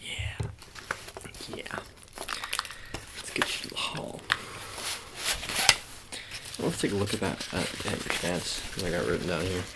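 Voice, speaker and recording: A man doing soft-spoken ASMR roleplay as a shady sports agent, talking in a halting, casual, coaxing way and repeating his phrases. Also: low voice, heavy background noise.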